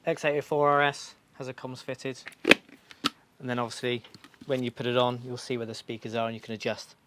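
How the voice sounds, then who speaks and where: A man's voice: mid-range voice, level tone, normal speed, very noisy. A man giving an informal, practical step-by-step demonstration in a reassuring, instructional way. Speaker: motorcycle shop staff giving a fitting tutorial.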